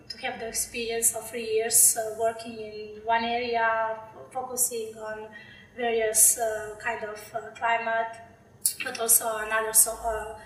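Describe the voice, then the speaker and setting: Young slovenian-accented woman, lots of ums and restarts, roomy echo. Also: a little high. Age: young adult. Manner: hesitant, many fillers and restarts. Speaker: interviewee, PhD candidate. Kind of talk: casual interview answers. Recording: echoey room, mic far off.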